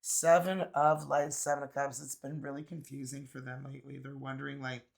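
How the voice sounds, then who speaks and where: Raspy, hoarse man reading tarot. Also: mid-range voice, little pitch movement, a little fast. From Canada. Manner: chatty, musing, many fillers. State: hoarse, recovering from illness. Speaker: tarot reader. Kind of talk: casual tarot card reading.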